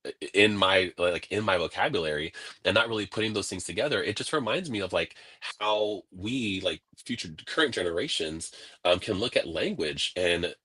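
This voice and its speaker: A man from California, a guest on a video-call interview, telling stories in a casual, animated way and acting out what people said. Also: sits low, fairly quick, breathy edge. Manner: animated, laughing, acts out quoted voices.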